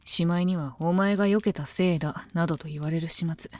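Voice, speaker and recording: A woman playing a composed, devoted female knight of common birth in a Japanese voice drama, speaking calmly, politely and softly. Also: on the low side, a little fast, close to an everyday voice. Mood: dry, matter-of-fact. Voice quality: calm, composed. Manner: polite, deferential, soft-spoken. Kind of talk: Japanese voice drama, intimate scripted dialogue.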